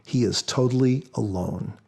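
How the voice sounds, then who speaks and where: Gravelly, husky man with a mellow tone. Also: lowish voice, moderate pace. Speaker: author and podcast guest. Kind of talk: measured explanatory talk with scripture readings.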